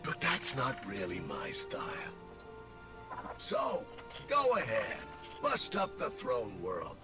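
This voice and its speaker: A man's voice: fairly low pitch, wildly swooping pitch, slow, music in the background, exaggerated voice. An actor playing a cocky villain's little robot henchman in a video game, sarcastic, streetwise and taunting. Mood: sardonic, taunting.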